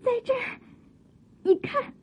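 An actress playing a delicate, sorrowful young maiden in a Chinese radio drama, with plaintive, drawn-out, theatrical delivery. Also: female voice, a little mellow, natural voice. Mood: stunned, tremulous. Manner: plaintive, drawn-out, breathless exclamations. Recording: dull, lo-fi radio drama recording.

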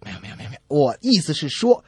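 Male comedy co-host, raspy-edged voice, bouncy intonation, playful joke delivery, close and dry. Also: on the high side, sing-song, racing, dark tone, no echo. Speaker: comedy radio show co-host. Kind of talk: playful scripted joke-telling banter.

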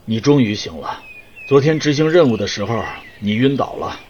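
Male colleague, radio play: actor as reassuring, casual, mid-pitched, slightly muffled recording with birdsong and light noise. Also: animated, moderate pace, darkish tone, close to an everyday voice. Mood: relieved, casual. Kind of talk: audio drama acting. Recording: slightly dull, noisy, birdsong behind.

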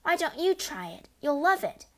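A woman's voice: high voice, sing-song, moderate pace, a bit bright, voice pushed up. A woman voicing a polite, bookish little girl who speaks earnestly and pleads.

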